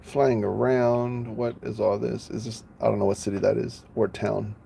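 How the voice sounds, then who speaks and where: A man's voice: on the low side, level tone, normal speed, creaky, warm tone, a little hiss or hum. A man chatting casually on a live stream, playful, laughing often and stumbling over names.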